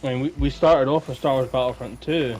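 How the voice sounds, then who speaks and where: A man's voice: medium pitch, steady tone, a little fast, crowd noise, poor audio. A man chatting casually and teasingly as a participant in a podcast. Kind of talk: casual chat.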